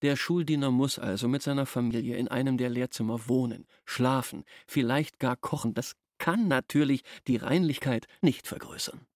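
A male actor as a stiff village schoolteacher, resentful and officious. His medium-pitched voice is recorded very dry and close, and he phrases everything formally, with open disapproval, in a radio play manner. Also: normal speed, hardly any pauses, darkish tone, no room sound, natural voice. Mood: officious, disdainful. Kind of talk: German radio drama acting.

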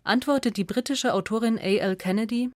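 A woman reads a scripted radio essay as narrator in a measured, articulate and factual way. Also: fairly high pitch, no echo, clean studio sound.

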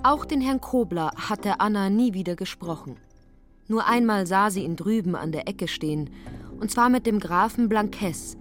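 A woman narrating a literary radio drama in scripted prose. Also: mid-range voice. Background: music.

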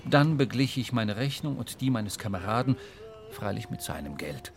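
A man playing a reflective seafarer who narrates his adventure in measured, expressive literary German audio drama style. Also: lowish voice. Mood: matter-of-fact. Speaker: first-person narrator. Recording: clear ambient noise behind voice.

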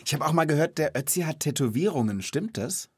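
A man's voice: a little high, animated, quick pace, slightly rough, no room sound. A man hosting a children's knowledge podcast, chatting and explaining in a casual, enthusiastic, colloquial way.

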